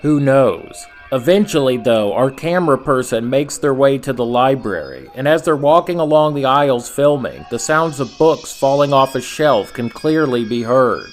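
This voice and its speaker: A man narrating scripted horror commentary as a host, in a measured storytelling manner with rhetorical asides. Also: mid-range voice, animated, soft background music. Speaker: video essay host and narrator.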